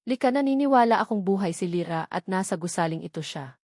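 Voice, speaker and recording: A woman's voice: medium pitch, animated, fast talker, darkish tone, no room sound, studio quality. A woman with a Filipino accent voicing an earnest, desperate man in a story, grave and insistent. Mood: urgent, desperate. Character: earnest, desperate man revealing painful family secrets.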